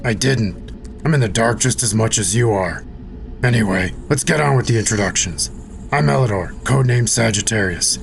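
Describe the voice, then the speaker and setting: An actor playing a patient, level-headed soldier and mentor in an audio drama. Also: male voice, fairly low pitch, moderate pace, vocal fry, slight background noise. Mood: calm.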